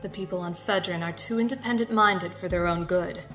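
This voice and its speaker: A woman acting in an audio drama as a controlled, condescending security captain with a formal, measured manner and polite menace. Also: fairly low pitch, a bit bright, faint music behind, close to an everyday voice. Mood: coolly threatening.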